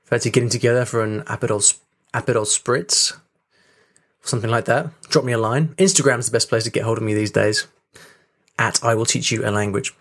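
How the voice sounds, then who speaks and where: A man's voice: mid-range voice, fairly even intonation, a little fast, a bit bright. A man hosting a podcast in casual solo chat, talking in a chatty, rambling way with restarts. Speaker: podcast host. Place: home room with rain on the windows.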